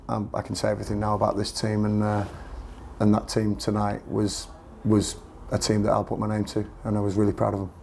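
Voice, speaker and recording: A man, a football manager speaking after a match with a croaky voice, earnest and repeating himself as he searches for words. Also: fairly low pitch, a little mellow, slight background noise. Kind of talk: post-match press interview.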